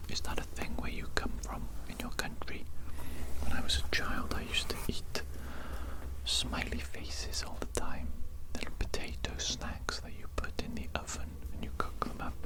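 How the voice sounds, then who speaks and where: A creator whispering an ASMR roleplay close to a binaural mic, speaking slowly and playfully and repeating phrases. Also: rough recording. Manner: slow, repetitive, playful whispering.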